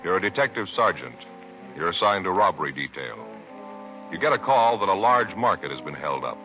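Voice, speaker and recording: A man's voice: sits low, sing-song, brisk, soft background music. An American man doing dramatic, scripted announcing for a 1950s radio program. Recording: narrow, muffled 1950s radio recording.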